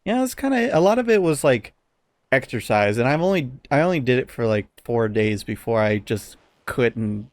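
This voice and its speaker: Male podcast host. Mid-pitched, informal, halting storyteller, dry room sound. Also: animated, no echo. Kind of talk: casual podcast chat.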